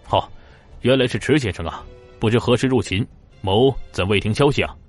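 An actor playing a watchful, officious city-gate commander with a formal, authoritative manner in an audiobook drama. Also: male voice, mid-range voice, normal speed, lightly acted. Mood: affable, probing. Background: music.

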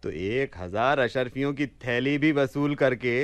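A man playing a deluded, haughty and excitable young man in a theatrical radio play. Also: medium pitch, a little slow, dry recording, natural voice. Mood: haughty, indignant. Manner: indignant, pompous, excitable.

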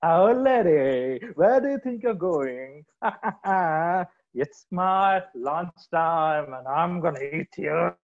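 A woman doing a slow, hungry bear. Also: fairly low pitch, lively intonation, a little mellow, dull audio, voice pushed down. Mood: greedy. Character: big hungry male bear, lazy and greedy.

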